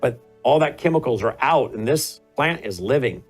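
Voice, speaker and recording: A man's voice: mid-range voice, animated, moderate pace, husky, soft background music. Male talk host, middle-aged, emphatic, pushes hard on rhetorical questions. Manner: emphatic, rising to forceful questions. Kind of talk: political commentary and panel hosting.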